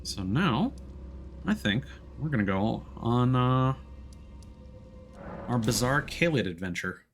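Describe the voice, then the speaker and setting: A man giving casual live commentary as he streams a video game, often pausing to think aloud. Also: mid-range voice, very animated, soft background music.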